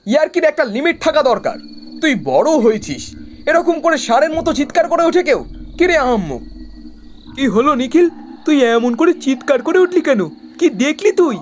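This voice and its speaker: A brash young man in an animated horror story, played by an actor. He talks in a bossy, mocking way, like the scolding leader of a group of friends. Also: high-pitched, fairly quick, slight background noise. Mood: irritated, scolding. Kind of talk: animated horror cartoon dialogue.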